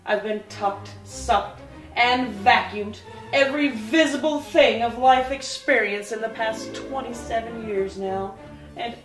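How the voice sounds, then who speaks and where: A woman acts out a vain, wicked stepmother from a comic stage fairy tale. Her voice is fairly high and theatrical, full of boasting. It is heard at a distance in an echoey space, with some music behind. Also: measured pace, lightly acted. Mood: boastful.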